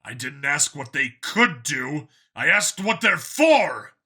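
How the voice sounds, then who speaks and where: Casting: male narrator as hard-edged human lawman, irate and commanding, sharp tone, animated pitch, for a sci-fi story. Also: slow, breathy edge, heavily acted. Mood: furious. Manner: forceful, demanding, blunt. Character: hard angry abducted human man working as cop.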